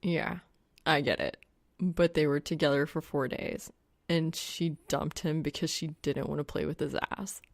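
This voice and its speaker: Wry young male gamer, audiobook fiction, played by a woman, lowish fry-laden voice, slangy and deadpan. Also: unhurried. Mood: exasperated. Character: young man gamer, wry, exasperated, awkward crush.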